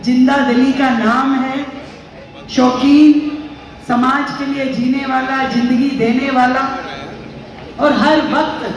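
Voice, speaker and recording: A woman's voice: high voice, level tone, slow pace, pauses often. A woman giving a chatty, anecdotal speech at a ceremony, raising her voice for punchlines. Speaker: political speaker. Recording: echoey hall, mic from far off.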